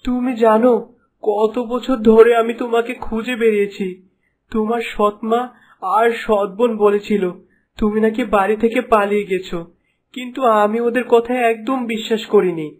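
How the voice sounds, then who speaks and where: A man's voice: high-pitched, fairly even intonation, moderate pace. A man playing a devoted, earnest young prince in love in a fairy-tale cartoon, with a romantic manner.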